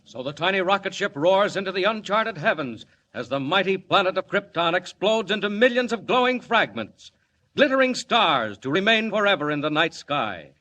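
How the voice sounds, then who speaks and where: A man's voice: sits high, big pitch swings, normal speed, sharp tone, highs cut off. A male announcer narrating a 1940s radio serial with grandiose, dramatic declamation. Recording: vintage 1940s radio, faint noise.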